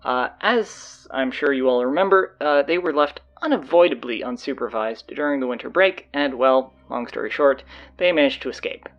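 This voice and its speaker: Man acting as flustered school announcer, animated pitch swings, gravelly edge, hesitant, rambling, dryly exasperated, audio drama. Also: normal speed, highs cut off, lightly acted. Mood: awkward, deadpan. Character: flustered, sardonic high school stand-in morning announcer.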